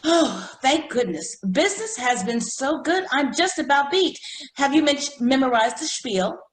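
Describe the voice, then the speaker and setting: In a roomy echo, an actress plays a pompous department-store saleswoman in a radio comedy. Her voice is fairly high, with a showy, measured delivery. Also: expressive. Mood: relieved. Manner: showy, deliberate pitchwoman delivery. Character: brisk, pompous saleswoman demonstrating cosmetics, easily exasperated. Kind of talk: old-time radio comedy sales patter.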